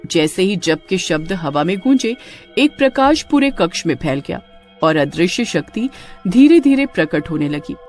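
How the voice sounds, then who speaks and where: A woman's voice: lowish voice, sing-song, normal speed, a little mellow, soft background music. A woman reading a scripted story as narrator, in a dramatic storytelling manner.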